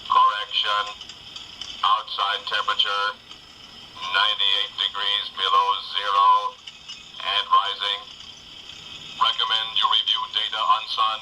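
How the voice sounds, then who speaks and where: A man's voice: lowish voice, completely flat delivery, a little slow, pauses often, pushed and bright. An actor playing a dutiful, authoritative male robot with formal, declamatory delivery in a 1960s science fiction television style. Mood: commanding. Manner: formal, declamatory, clipped announcements. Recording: noisy lo-fi playback from small speaker.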